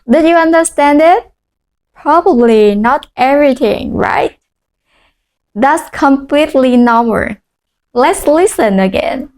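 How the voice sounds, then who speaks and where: A woman with a Vietnamese accent, a native Vietnamese speaker, teaching a language lesson and modelling phrases slowly and clearly for repetition. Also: high voice, expressive, noisy background.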